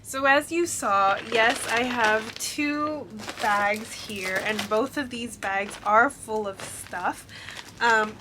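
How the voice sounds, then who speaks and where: A woman's voice: on the high side, takes it slowly, over music. A young woman chatting casually to camera, her voice stuffy and congested from a cold, filling her talk with ums and likes. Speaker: vlogger showing a shopping haul.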